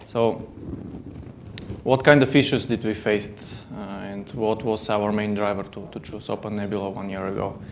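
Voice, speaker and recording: A man's voice: lowish voice, little pitch movement, normal speed, slight background noise. A man with a Bulgarian accent giving a technical conference talk, hesitant, with many fillers and restarts. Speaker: conference speaker. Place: conference hall.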